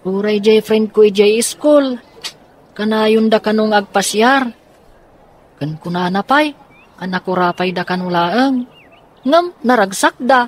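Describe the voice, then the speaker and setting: A woman's voice: medium pitch, slow pace, pauses often, natural voice. Determined young daughter for radio drama, played by a woman: earnest, insistent, slight noise behind. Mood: eager. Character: scheming, determined young daughter troubled by parents.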